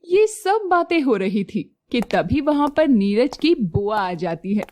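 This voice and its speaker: A woman narrating a scripted moral story as an expressive storyteller. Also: fairly high pitch, takes it slowly, dry recording.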